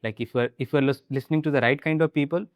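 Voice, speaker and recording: A man's voice: mid-range voice, rapid-fire, no room sound, muffled recording. Encouraging man with a Bihari accent. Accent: Bihari Indian English. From Bihar, India. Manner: relaxed, encouraging, conversational. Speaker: English trainer and host. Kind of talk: casual video-call conversation.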